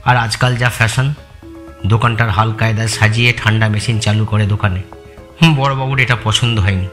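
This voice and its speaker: A man's voice: lowish voice, steady tone, fairly quick, fairly bright tone, lightly acted. A man acting a loyal, respectful long-time employee in an audio story, giving plain deferential explanations. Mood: explanatory. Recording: music bed behind the voice.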